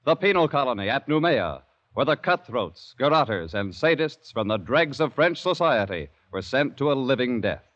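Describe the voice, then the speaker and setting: A man's voice: medium pitch, big pitch swings, moderate pace. A man announcing a vintage radio drama in a formal, declamatory style. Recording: dull vintage radio recording. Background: loud dramatic music.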